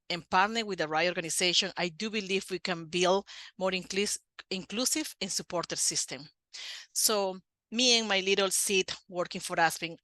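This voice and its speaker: A man from Peru with a Peruvian Spanish accent, a Spanish native speaker giving an earnest webinar presentation over a video call as a program coordinator, his phrasing slightly halting. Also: sits high, pushed and bright, dry recording.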